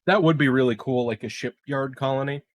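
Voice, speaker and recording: Medium-pitched man chatting as a player. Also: brisk, dull audio. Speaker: tabletop game player. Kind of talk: casual chat.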